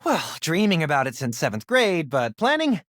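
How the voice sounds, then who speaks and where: Casting: excitable teen tech geek, game sidekick; very animated pitch, wisecracking, dry close recording. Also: male voice, normal speed, no room sound. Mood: focused, upbeat. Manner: casual, wisecracking, expressive. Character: nerdy, excitable teenage boy, hero's tech-savvy best friend. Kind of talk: video game voice acting.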